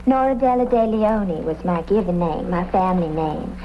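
An actress playing an airy, whimsical hippie florist in a comedic radio police drama, speaking in flowery flourishes. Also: female voice, on the low side, lively intonation, moderate pace, natural voice. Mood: dreamy, gushing. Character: airy, dreamy hippie flower-shop woman with theatrical flourishes. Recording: muffled, noisy old radio recording.

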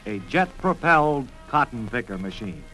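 A man's voice: medium pitch, sing-song, moderate pace, bright, forceful tone, faint music behind, rough recording. A man playing an earnest, emphatic adult in a mock-serious old radio serial. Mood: announcing grandly. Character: Uncle Jim, earnest, loyal adult sidekick, also serial announcer.

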